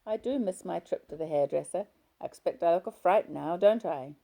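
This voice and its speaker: A woman's voice: fairly low pitch, fairly quick, creaky, warm tone. A woman voicing a blunt, chatty hospital patient. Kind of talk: audiobook character voice.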